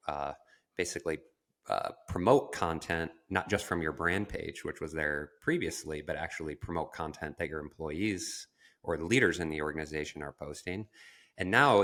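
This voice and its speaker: Low-voiced man co-hosting a podcast. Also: a bit bright. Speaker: podcast co-host and marketing agency founder. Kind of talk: casual podcast conversation.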